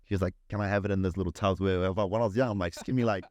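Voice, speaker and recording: A middle-aged man with a New Zealand accent talks in a very low voice, loose and rambling, tripping over repeated words and swearing freely. The sound is close and dry, with no room behind it. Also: steady tone, very fast, a little mellow, dry recording. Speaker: podcast guest. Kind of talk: casual chat.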